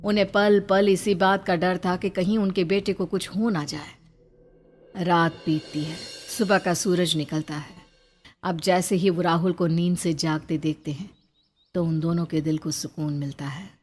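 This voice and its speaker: A woman's voice: lowish voice, fairly even intonation, unhurried, a little mellow, soft background music. A woman reading scripted horror story narration in a suspenseful, dramatic way.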